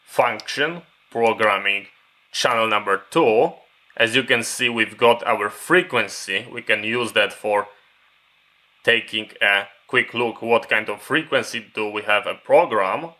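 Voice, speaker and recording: Bright-voiced male instructor, unhurried. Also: lowish voice. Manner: methodical, step-by-step. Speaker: instructor demonstrating radio programming. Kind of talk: hands-on tutorial explanation.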